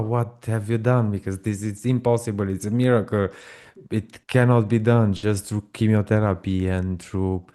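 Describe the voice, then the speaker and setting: A man with a Romanian accent telling his personal story as an interview guest, in halting non-native phrasing. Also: lowish voice, warm tone, clear, resonant tone. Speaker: guest, breathwork practitioner.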